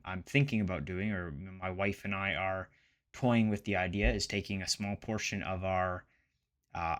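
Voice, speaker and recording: Male finance vlogger, late 20s. Lowish pitch, informal, slightly halting and self-correcting delivery. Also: a bit bright. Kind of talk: casual chat to camera about investing.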